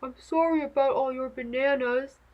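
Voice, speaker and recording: A woman voicing a huge, gentle male storybook elephant who explains earnestly and apologetically, short of breath. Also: high voice, fairly even intonation, moderate pace, muffled recording, lightly acted. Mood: apologetic, winded. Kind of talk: children's storybook character voice.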